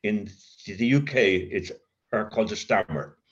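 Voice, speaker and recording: An Irish man sharing his recovery story, halting, with stammer blocks and many restarts. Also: lowish voice, muffled recording. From Ireland. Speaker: speaker at a recovery meeting. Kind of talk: personal recovery share.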